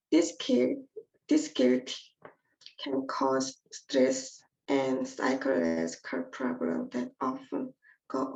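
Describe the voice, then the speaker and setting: Slow, creaky young woman. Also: sits high, a little mellow. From North Korea. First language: Korean. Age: 20s. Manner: measured and earnest, read from a prepared text. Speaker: invited speaker. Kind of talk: prepared personal testimony speech. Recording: echoey dull lo-fi audio.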